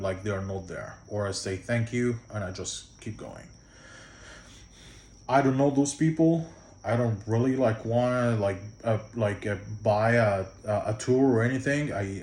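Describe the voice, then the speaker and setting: A man with an Egyptian accent talks through travel advice in a relaxed, meandering way, stopping often for fillers and restarting his sentences. His voice sits on the low side. The recording has a noticeable echo, as if made in a bare room. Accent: Egyptian Arabic. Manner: loose, rambling, with many fillers and restarts. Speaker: travel vlogger. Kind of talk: casual travel tips to camera.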